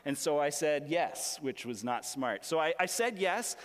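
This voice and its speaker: A young man, a pastor with a raspy voice, preaching a sermon full of personal stories in an earnest, self-deprecating, conversational way. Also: a little high, expressive, a little slow. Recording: long reverb of a large hall.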